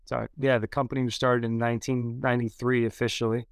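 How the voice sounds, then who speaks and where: Flat-voiced man around thirty, laid-back. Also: medium pitch, quick pace, smooth, dry recording, studio quality. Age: 30s. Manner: laid-back and matter-of-fact, with many fillers. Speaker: podcast guest. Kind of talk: casual interview talk.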